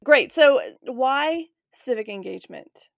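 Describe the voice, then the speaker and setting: A woman's voice: sits high, expressive, slow, creaky, no room sound, studio quality. A woman hosting a podcast in casual conversation. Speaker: podcast host.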